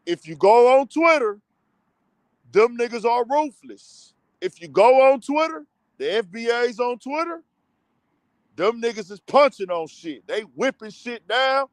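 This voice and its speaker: A high-voiced man talks straight to his viewers in a casual commentary style. He keeps putting rhetorical questions to them. The sound is dry and close, with no room echo. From United States. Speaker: commentary show host.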